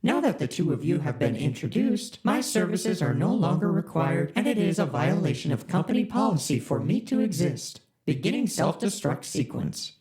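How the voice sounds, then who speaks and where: A chipper spaceship computer played by an actor reads out company prompts in a formal announcer manner. Its pitch swings lively up and down. The voice sits in a roomy, echoing space. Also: moderate pace, few pauses. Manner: formal, scripted announcer delivery. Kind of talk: audio drama acting. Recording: roomy echo.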